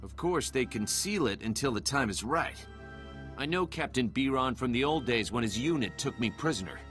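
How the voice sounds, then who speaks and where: An actor playing a wary, suspicious army sergeant in a video game, with a blunt, familiar manner. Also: male voice, medium pitch, lively intonation, moderate pace, fairly bright tone, soft background music, lightly acted. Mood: suspicious, grudging.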